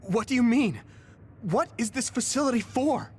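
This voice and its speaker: A man's voice: very high voice, lively intonation, moderate pace, breathy edge, exaggerated voice. A man playing an earnest, idealistic young soldier hero in an anime-style video game, with an emotive delivery. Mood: urgent, outraged.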